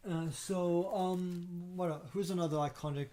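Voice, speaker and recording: Halting man with mellow, higher-pitched voice. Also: fairly even intonation. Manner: halting, hesitant, repeats himself. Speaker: podcast co-host. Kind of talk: casual podcast discussion.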